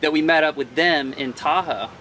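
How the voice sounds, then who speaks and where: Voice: medium pitch, normal speed, bright, forceful tone, a little hiss or hum, low-quality recording. Wry podcast host asking questions. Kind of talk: casual interview. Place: inside a van.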